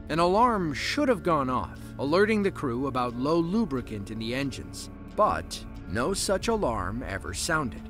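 A man narrating a scripted documentary in a lively storytelling way with dry asides. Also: a little high. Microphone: voice-over, studio mic. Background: soft background music.

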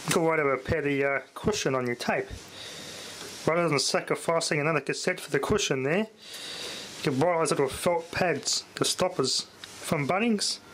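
A man's voice: on the high side, fairly bright tone, a little hiss or hum, poor audio. A man casually talking through a cassette repair at home, thinking aloud with fillers. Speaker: vintage tape hobbyist. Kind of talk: casual hands-on commentary. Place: home workshop indoors.

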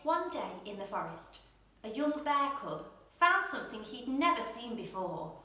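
A young woman presenting and reading a children's storybook in an expressive, gentle bedtime style. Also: a little high, moderate pace, a crowd in the background, noisy background. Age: young adult. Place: indoor room at home, seated in armchair. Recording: very echoey room.

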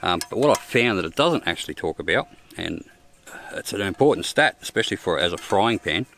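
Low-voiced Australian man reviewing outdoor gear. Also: lively intonation, a little fast, fairly bright tone, a little hiss or hum. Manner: plain-spoken, frequent ums and restarts. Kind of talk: casual gear review vlog. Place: outdoors in open bushland.

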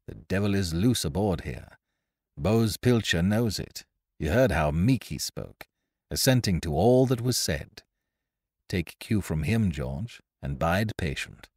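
A man's voice: low-pitched, a little mellow, breathy edge, no echo, clean studio sound, exaggerated voice. A man voicing a reckless, jovial male sea adventurer who speaks in swaggering, old-fashioned seafaring talk. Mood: hushed. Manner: swaggering, archaic seafaring speech.

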